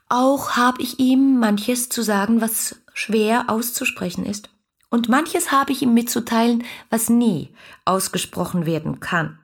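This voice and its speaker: An actress playing an ardent, dreamy young letter writer, breathy and confiding, in a radio play. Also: female voice, on the high side. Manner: musing, confiding, intimate.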